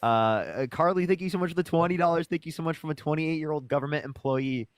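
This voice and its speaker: A man running through donation thank-yous at high speed with a middle pitch. He mocks a debate opponent with laughter. The sound is close and dry. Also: fast talker, clean tone, no room sound. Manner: rattles off thanks, mocking laughs. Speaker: streamer co-host.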